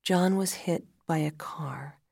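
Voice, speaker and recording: Female doctor for audio drama, voiced by a woman narrator: calm, measured, grave, creaky and breathy. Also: sits low, no echo, studio quality, heavily acted. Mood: grave, gentle. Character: calm female hospital doctor breaking bad news. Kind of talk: dramatic audiobook-style storytelling.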